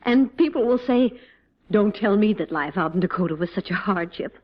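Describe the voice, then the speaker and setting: Woman acting as general's wife, fond n gently teasing, medium pitch, old radio drama, muffled sound. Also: animated, normal speed, natural voice. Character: devoted army officer's wife, gently teasing, quietly anxious. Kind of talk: mid-20th-century American dramatic acting. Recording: dull old radio-era drama recording.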